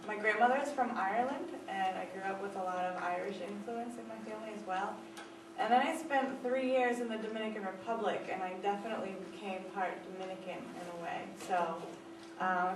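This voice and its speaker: A woman from the Boston area introducing herself to a class in a steady, casual way. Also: medium pitch, moderate pace. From Boston area, USA. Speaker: student. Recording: echoey room from far off, background noise.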